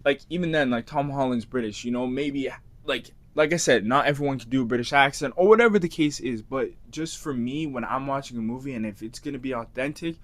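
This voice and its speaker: A man chats casually as a podcast co-host, talking with enthusiasm. He rambles, restarts his thoughts, and fills pauses with fillers as he works through his opinion. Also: medium pitch. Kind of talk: casual chat.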